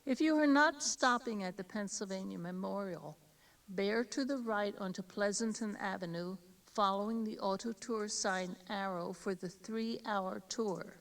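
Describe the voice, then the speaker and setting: A woman reading scripted driving directions as a tour guide, in a measured, instructional way. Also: medium pitch. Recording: 1980s cassette tape, slightly distant mic.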